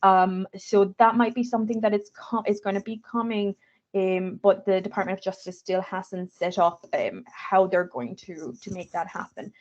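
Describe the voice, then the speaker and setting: A woman giving an informal briefing on a video call, talking quickly with many fillers. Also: mid-range voice, steady tone. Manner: fast, filler-heavy, reassuring. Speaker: refugee support speaker. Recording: muffled video call audio.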